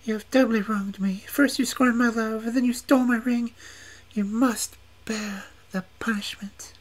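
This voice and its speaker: A man reading a storybook and voicing a fierce, scornful young witch maiden with a shrill, seething delivery. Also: fairly even intonation, fairly quick. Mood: vengeful. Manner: shrill, high, seething threats.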